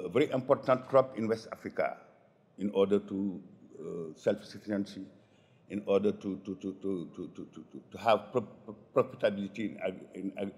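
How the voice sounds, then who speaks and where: Halting man in a reverberant hall. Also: deep voice, darkish tone. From Senegal. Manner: halting, repeats words often. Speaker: conference speaker. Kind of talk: conference presentation. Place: large conference hall.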